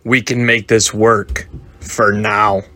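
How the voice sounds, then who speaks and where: A gruff mutant henchman, as a man puts him on, muttering blunt remarks. The voice is lowered yet sharp-edged. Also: flat delivery, takes it slowly, heavily acted.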